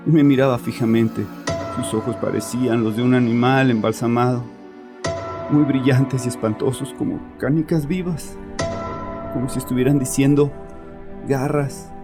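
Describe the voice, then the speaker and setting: An actor playing a troubled, chauvinist bereaved father confessing in an audio horror drama, with a haunted, halting manner. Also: mid-range voice, fairly even intonation, over music, close to an everyday voice. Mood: haunted, grieving. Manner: haunted, halting, repeats himself.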